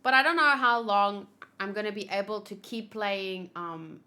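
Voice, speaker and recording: A woman chatting casually on a gaming livestream, thinking aloud in an expressive, chatty way. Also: mid-range voice, moderate pace. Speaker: video game streamer. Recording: clear background noise.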